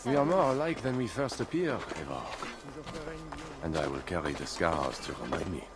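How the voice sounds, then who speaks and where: A man's voice: low-pitched, lively intonation, moderate pace. A man playing a proud, ambitious Frankish count, formal and solemn, in a dramatic video game. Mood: grave, wary.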